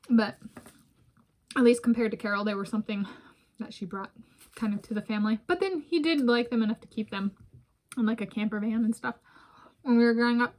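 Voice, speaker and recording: American woman, 30s, slightly high-pitched, for casual digressive home food vlogs, chats between bites. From United States. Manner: rambling, digressive, talks while eating. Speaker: vlogger. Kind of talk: casual food vlog talk. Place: home room with bookshelves, indoors.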